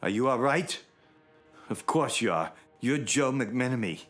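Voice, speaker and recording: Kindly American uncle, medium-pitched voice, reassuring, audio drama, faint music. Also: male voice, lively intonation, measured pace, a bit bright. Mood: warm, relieved.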